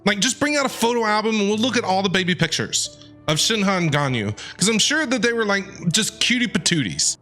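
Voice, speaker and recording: Gameplay streamer: playful, slangy, excitable, forward bright tone, faint music underneath. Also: medium pitch, brisk. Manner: enthusiastic, playful, casual slangy talk. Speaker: game streamer. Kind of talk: excited gameplay reaction and commentary. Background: game music.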